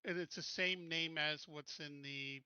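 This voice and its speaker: A man's voice: on the high side, steady tone, measured pace, sharp tone, smooth, no echo. A man in an online game group casually explaining technical settings step by step.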